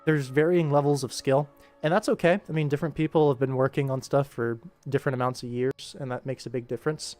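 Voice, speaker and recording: A man's voice: mid-range voice, steady tone, fast, few pauses, darkish tone. A man chatting casually as a livestream host while he works, with a dry, tongue-in-cheek sense of humour. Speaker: livestream host, animation director. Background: music.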